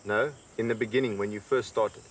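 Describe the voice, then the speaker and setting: A man's voice: fairly low pitch, fairly even intonation, brisk. A man interrogating someone outdoors, pressing with repeated questions. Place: outdoors in the bush. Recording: distant outdoor mic, faint insect noise.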